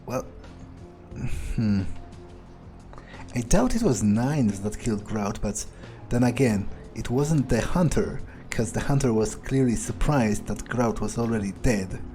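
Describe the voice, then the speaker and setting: A man roleplaying a surly, foul-mouthed vampire during a game, musing haltingly to himself in character. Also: medium pitch, expressive, dark tone, soft background music, natural voice. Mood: brooding, musing. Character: surly, foul-mouthed, self-serving male vampire, broodingly thoughtful. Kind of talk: in-character gameplay monologue.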